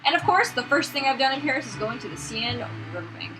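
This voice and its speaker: Earnest young painter man read by a higher-pitched woman. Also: big pitch swings, fast, noisy background. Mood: excited.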